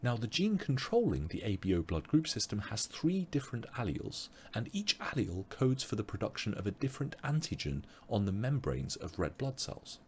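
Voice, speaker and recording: A man teaching an online biology revision webinar, explaining step by step with frequent pauses, his voice a little strained as he loses it. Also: on the low side, big pitch swings, a little hiss or hum. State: losing his voice. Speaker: biology tutor.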